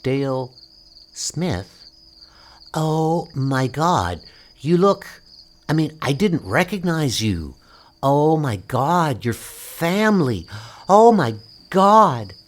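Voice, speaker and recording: A man's voice: on the high side, big pitch swings, extremely slow, pitched above the performer's own voice, heavily acted. A man voicing a nervous, awkward young woman in a comic book dub, with hesitant delivery. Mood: stunned.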